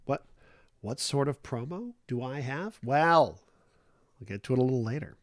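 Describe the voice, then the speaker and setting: A man's voice: medium pitch, animated, moderate pace, no echo. Guy hosting a chill morning stream, stuffy nasal cold voice, rambly, dry close sound. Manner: rambling and easygoing, many fillers and restarts. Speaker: livestream host. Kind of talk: casual morning chat.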